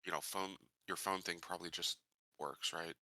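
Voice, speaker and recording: Casual man, very bright forceful low voice. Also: fairly even intonation, moderate pace, no echo. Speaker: tabletop game player. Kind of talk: casual chat.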